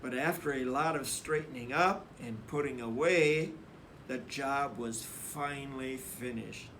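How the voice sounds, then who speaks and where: Male storyteller for a kids' picture book: forceful, unhurried, deliberate delivery, slight hiss of noise behind. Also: medium pitch. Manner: emphatic, deliberate, acts out dialogue. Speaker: read-aloud storyteller. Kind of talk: expressive children's picture-book reading.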